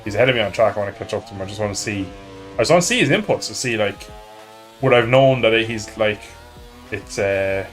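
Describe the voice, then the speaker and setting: A man's voice: on the low side, quick pace, faint music behind. A man with an Irish accent chatting casually and joking while streaming a motorbike racing game. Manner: joking, rambling, self-mocking. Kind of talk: casual chat while gaming.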